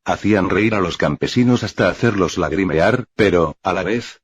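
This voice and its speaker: A man reading a first-person account aloud in steady, unhurried storytelling. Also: low voice, slightly rough, dry recording. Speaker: narrator. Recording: dull lo-fi dry recording.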